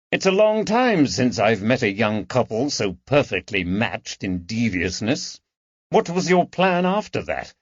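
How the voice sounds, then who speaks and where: A man's voice: mid-range voice, husky, dry recording, highs cut off, exaggerated voice. A man voicing a shrewd, coldly mocking detective in an audiobook, with a dry, taunting manner. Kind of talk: audiobook character dialogue.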